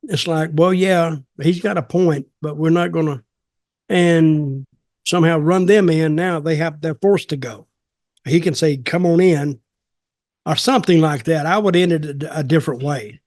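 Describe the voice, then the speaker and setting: A man's voice: a little high, normal speed, a little mellow, dry recording, studio quality. An older man in his seventies chatting casually as a podcast co-host, giving opinions in a blunt, rambling, folksy way. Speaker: podcast co-host and wrestling storyteller. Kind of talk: casual chat, opinionated commentary. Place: small home office, video call.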